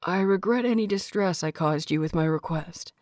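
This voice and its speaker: A woman's voice: lowish voice, moderate pace, darkish tone, no room sound, studio quality, lightly acted. A woman voicing an earnest young man, a respectful disciple who speaks politely and formally, in an audio story.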